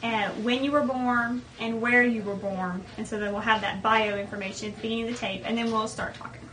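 A woman's voice: on the high side, fairly even intonation, a little fast, fairly bright tone. A woman conducting an oral history interview, putting questions to a group. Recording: distant mic, some background noise.

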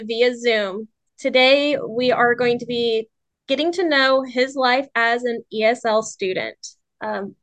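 A young woman conducting an interview for a class, asking polite, careful questions with pauses. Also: a little high, fairly bright tone, dry recording. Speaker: interviewer, student.

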